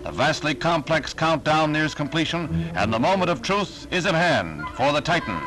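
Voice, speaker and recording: A man's voice: a little high, sing-song, moderate pace, music in the background, poor audio. An American man narrating a 1950s newsreel in a formal, dramatic, authoritative manner. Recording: vintage 1950s newsreel soundtrack.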